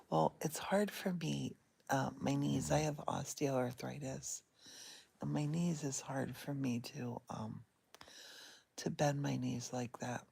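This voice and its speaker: A middle-aged woman talking softly and unhurriedly from her bed, recorded on a phone she holds in one hand. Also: sits low, creaky, husky. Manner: soft and whispery, unhurried. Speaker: vlogger. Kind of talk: casual guided meditation vlog. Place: in bed at home. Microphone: phone held in one hand.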